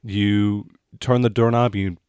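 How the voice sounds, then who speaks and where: Guy game mastering a tabletop rpg, lowish voice, dry close sound, halting casual descriptions. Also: fairly even intonation, a little mellow, clean tone, dry recording. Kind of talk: improvised narration of a tabletop game.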